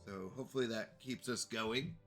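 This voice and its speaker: Bright-voiced congested man streaming a game. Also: medium pitch, moderate pace, faint music behind. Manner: chatty, greets chat viewers. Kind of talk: casual live game commentary.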